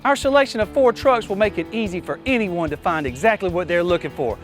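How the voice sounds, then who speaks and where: A man delivering a scripted television commercial as a car dealership pitchman. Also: fast, soft background music.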